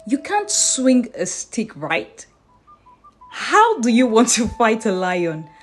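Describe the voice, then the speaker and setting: A woman's voice: fairly high pitch, wildly swooping pitch, slow pace, soft background music, full character voice. A woman voicing a confident, teasing, mysterious male hunter in a folktale, cocky and amused.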